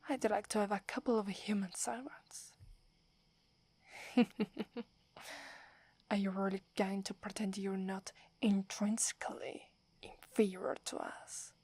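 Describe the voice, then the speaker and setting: Italian lady doing a snooty werewolf girl, breathy whisper, real slow, stammers when flustered. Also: mid-range voice, level tone, darkish tone, no room sound. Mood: scornful, teasing. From Italy. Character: proud wary young werewolf girl, tsundere. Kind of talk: whispered ASMR roleplay.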